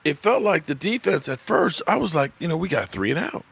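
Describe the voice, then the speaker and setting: Animated man in his fifties, live-stream host. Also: on the high side, brisk, vocal fry, slightly rough. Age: 50s. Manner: rambling, swearing, laughing at viewers' comments. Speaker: sports channel host. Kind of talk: live-stream sports talk, reading chat. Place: at his desk, indoors.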